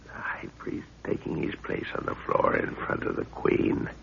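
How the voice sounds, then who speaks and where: A man acting a middle-aged, commanding, vengeful father who leads a jungle expedition in a 1930s radio adventure, gruff and authoritative. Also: normal speed, fairly bright tone, natural voice. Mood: tense, watchful. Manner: gruff, authoritative, clipped orders. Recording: muffled, noisy 1930s radio recording.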